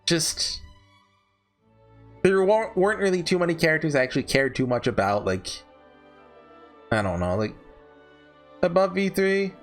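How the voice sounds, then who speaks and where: Male video host, lightly high-pitched and very lively in intonation, for off-the-cuff ranking commentary with a rambling, self-correcting delivery. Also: moderate pace, long pauses, soft background music. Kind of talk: casual unscripted tier-list commentary.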